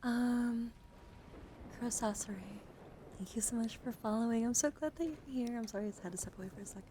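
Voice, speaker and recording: A woman hosting an ASMR livestream, talking softly and casually and often slipping into a whisper. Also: on the high side, fairly quick. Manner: soft-spoken, often whispering, repeats soothing words. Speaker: livestream host. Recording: clearly noisy home microphone.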